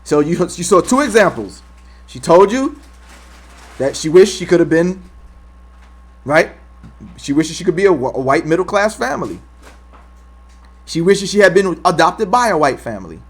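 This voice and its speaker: Male host, argumentative, repetitive, emphatic, fairly high-pitched voice. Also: big pitch swings, moderate pace, pauses often, breathy edge. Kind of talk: opinion commentary on a clip.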